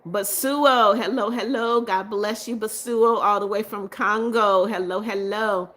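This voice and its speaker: A woman's voice: medium pitch, fairly even intonation, measured pace. Brief: middle-aged woman host, chatty livestream, reads and answers viewer comments. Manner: reads comments aloud and answers viewers warmly, laughs often. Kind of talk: casual livestream chat reading viewer comments.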